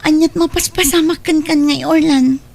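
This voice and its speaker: Actress as earnest high-voiced younger sister. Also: female voice, steady tone, moderate pace, vocal fry, darkish tone. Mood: worried. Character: hardworking, ambitious younger sister, caring wife. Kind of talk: Ilocano radio-style family drama acting.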